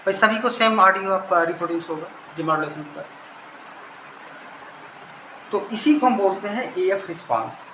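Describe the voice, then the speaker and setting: A high-voiced Indian man teaches in an informal lecture style. He explains step by step and often rephrases his points. The recording is echoey, with faint noise behind him. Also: expressive, moderate pace, pauses often. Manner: didactic, repeats and rephrases phrases.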